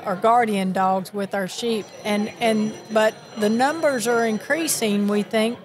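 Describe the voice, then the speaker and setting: An older woman with a Southern accent talks in a somewhat high voice, warm in her chatter and quick to restart her sentences. She speaks earnestly in a live interview recorded at a convention. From Miami, Florida. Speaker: sheep producers association president. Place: busy convention hall.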